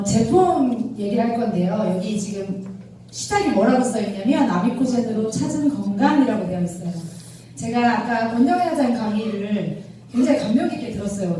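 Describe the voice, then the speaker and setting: A woman in her thirties speaks as a trainer to a live audience. Her voice is fairly high and a little soft-edged in tone. She talks conversationally and keeps putting questions to her listeners, heard from a distance in a very reverberant room. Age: 30s. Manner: conversational, often checks with the audience using rhetorical questions. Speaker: product trainer and speaker for a direct-sales business. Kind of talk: motivational product lecture to a live audience. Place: echoey indoor lecture room with an audience. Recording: very echoey room, distant mic, faint noise.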